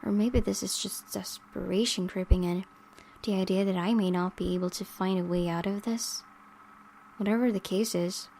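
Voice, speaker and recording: A woman hosting a gaming video, reading visual novel narration aloud in a measured, dramatic way. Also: mid-range voice, gravelly, darkish tone, a little hiss or hum. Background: game music.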